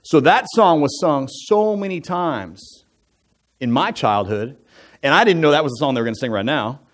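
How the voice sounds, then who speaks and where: A man's voice: on the high side, lively intonation, normal speed. Chatty man telling his life story. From United States. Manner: conversational and self-deprecating, with asides and joking fun facts. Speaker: church elder giving a testimony. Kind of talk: personal testimony talk from the pulpit. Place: church sanctuary.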